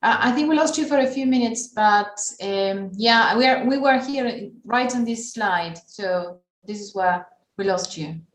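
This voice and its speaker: A woman moderating an online class session, calmly checking the connection and explaining where the talk left off. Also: on the high side, measured pace, roomy sound. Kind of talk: video call moderation. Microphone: video call.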